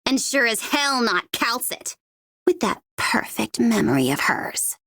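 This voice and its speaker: A sardonic young female mercenary from a video game. Her voice sits fairly high and taunts with menace, captured dry and close. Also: big pitch swings, unhurried, a bit bright, dry recording, exaggerated voice. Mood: mocking. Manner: mocking, taunting. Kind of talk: video game character voice line.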